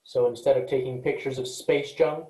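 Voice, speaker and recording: A man's voice: medium pitch, steady tone, normal speed, dark tone, close to an everyday voice. A man playing an earnest, cautious, literal-minded space freighter worker, speaking precisely and matter-of-factly in a staged reading. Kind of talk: virtual staged reading of a sci-fi play. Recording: echoey room.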